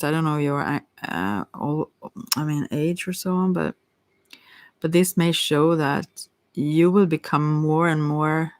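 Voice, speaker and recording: A woman's voice: low-pitched, dry recording. Hesitant woman giving a close, dry ASMR reading. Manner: hesitant, stammering, often trailing off and rephrasing. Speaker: tarot reader. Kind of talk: intimate ASMR tarot reading, casual and rambling.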